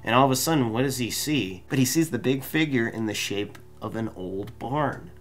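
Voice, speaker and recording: A man's voice: mid-range voice, lively intonation, normal speed, faint music behind. A man in his thirties co-hosting a comic book podcast, talking animatedly and often restarting his sentences. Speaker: podcast co-host. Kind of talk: casual comic book discussion. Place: small room full of collectibles. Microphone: studio mic on desk stand.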